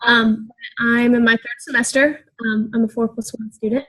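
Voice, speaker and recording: A young woman from South Carolina, a graduate student introducing herself in class in a plain, even way with many ums. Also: fairly high pitch, moderate pace, poor audio. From South Carolina, USA.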